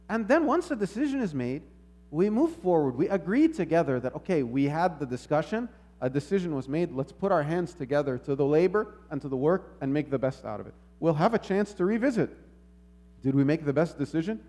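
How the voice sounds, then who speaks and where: A man giving a sermon in a measured, reflective manner, posing rhetorical questions and repeating phrases as he teaches. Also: on the high side, a little mellow. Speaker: preacher.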